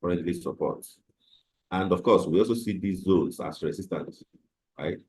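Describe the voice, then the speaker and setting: A man teaching a live online trading class, slightly hoarse from a cold, explaining step by step with fillers over a computer microphone. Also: low voice, normal speed, darkish tone. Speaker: trading instructor.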